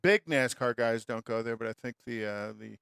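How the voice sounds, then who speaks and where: A man co-hosting an automotive show in casual, folksy chat, sometimes halting as he reads out listings. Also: medium pitch, creaky, a bit bright, clean tone, no room sound, studio quality. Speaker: co-host of an automotive radio show.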